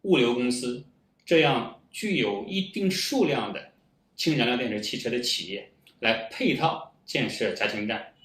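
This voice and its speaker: A man's voice: mid-range voice, fairly bright tone. A man giving a prepared presentation in a formal, point-by-point manner. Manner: formal, list-like delivery. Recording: echoey room.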